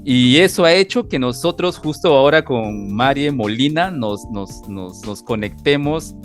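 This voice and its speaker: A man's voice: medium pitch, lively intonation, a little slow, soft background music. A man hosting a podcast interview, greeting listeners eagerly and sometimes repeating words as he goes. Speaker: podcast host.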